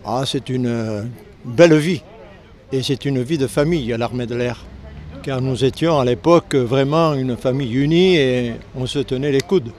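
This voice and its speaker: An older man with a southern French accent from Lozère, a retired air force serviceman speaking earnestly in a street interview, halting when the subject turns painful. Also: mid-range voice, darkish tone. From Lozère, southern France. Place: outdoors on a city street.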